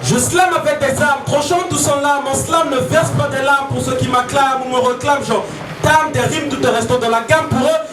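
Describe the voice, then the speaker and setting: A man performing slam poetry in a declamatory, rhyming, rhythmic delivery. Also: very high voice. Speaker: slam poet. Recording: echoey live stage, distant mic, music behind. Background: music.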